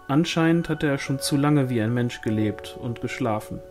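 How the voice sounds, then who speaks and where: A man narrates a novel from a script in a mid-pitched voice. Soft music plays faintly behind the reading. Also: normal speed. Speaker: audiobook reader.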